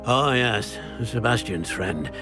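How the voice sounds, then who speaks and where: An actor playing a stern, weary older wizard guardian in a video game, with a gruff, scolding, emphatic manner. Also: male voice, lowish voice, expressive, slow, music in the background. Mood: weary, resigned. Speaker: game character. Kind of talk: dramatic video game acting.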